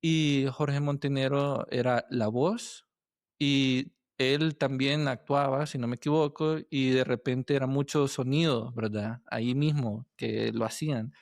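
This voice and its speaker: A man hosting a casual podcast interview, halting as he searches for words and often checking with tag questions. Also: medium pitch, fairly even intonation, gravelly, no room sound, studio quality.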